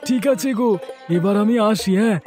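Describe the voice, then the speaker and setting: High-voiced sly village husband played by a man. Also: brisk, darkish tone, faint music behind, natural voice. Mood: pleased, scheming. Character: sly, henpecked young village husband in a folk-tale cartoon. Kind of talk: cartoon voice acting.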